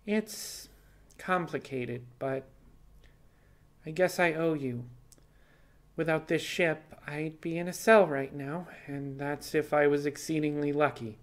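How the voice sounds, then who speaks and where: Man voicing a high-pitched, resigned young rabbit woman. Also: slow pace. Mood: resigned, hesitant. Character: young female rabbit fugitive, resigned, apologetic, plainspoken. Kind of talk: audio fiction character dialogue.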